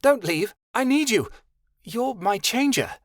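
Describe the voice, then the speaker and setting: Man doing pleading young woman, very high pitch, loud, dry. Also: lively intonation, no room sound, full character voice. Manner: hurried, pleading.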